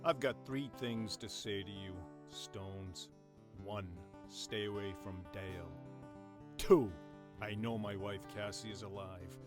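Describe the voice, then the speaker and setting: A man playing a gruff, defiant family man in an audio drama, speaking in a blunt, stern, confrontational way. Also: fairly low pitch, fairly even intonation, slow pace, music in the background. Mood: angry, determined.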